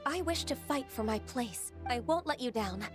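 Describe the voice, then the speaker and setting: An actress playing a gentle, dutiful young secretary in an anime game, soft-voiced, polite and earnest. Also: female voice, high voice, animated, moderate pace, over music. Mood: determined.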